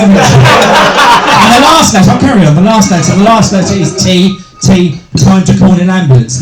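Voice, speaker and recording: A middle-aged male stand-up comedian with a deep voice hosting a live comedy night, bantering with hecklers and swearing freely. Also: heavy background noise. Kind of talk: stand-up comedy with crowd work. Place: small live venue with a crowd. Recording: echoey room with loud crowd.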